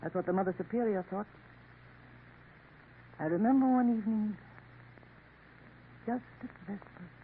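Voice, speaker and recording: A woman's voice: mid-range voice, normal speed, long pauses, natural voice. An actress in a 1950s radio drama playing a scheming, worldly Parisian café woman who coaxes and drops French endearments. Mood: sly. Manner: coaxing, knowing, sprinkles in French endearments. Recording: tinny old radio broadcast with faint hiss.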